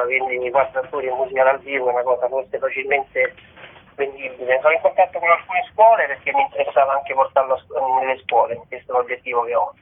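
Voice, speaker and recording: A man's voice: mid-range voice, breathy edge, narrow, tinny audio. A man, author and theatre director, talking hesitantly on the radio about staging his show. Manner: hesitant, searching for words. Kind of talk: radio interview.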